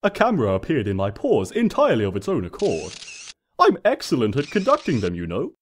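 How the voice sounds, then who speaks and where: A man's voice: medium pitch, wildly swooping pitch, a little fast, slight background noise. A man voicing a mischievous, theatrical male chaos spirit in a comedic storybook reading, with a sarcastic, flamboyant delivery. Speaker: fan fiction reader.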